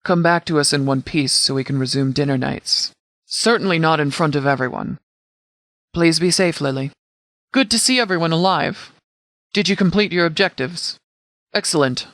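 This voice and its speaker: Man acting as formal, commanding sheriff, higher-pitched voice. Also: fairly bright tone, no room sound. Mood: guarded concern, then composed, approving. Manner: formal, measured, military briefing delivery. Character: commanding, disciplined sheriff leading a militia, stern and protective. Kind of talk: scripted video game dialogue.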